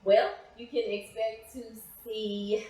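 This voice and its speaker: A woman's voice: a little high, steady tone, slow, mellow. An American woman giving casual interview answers as a guest playwright. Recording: very echoey, reverberant room.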